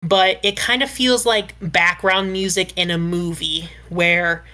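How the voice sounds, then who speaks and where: Podcast panelist: woman with a lowish voice, chatting through opinions in a measured, qualifying manner. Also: steady tone, pushed and bright. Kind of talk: casual panel discussion giving opinions.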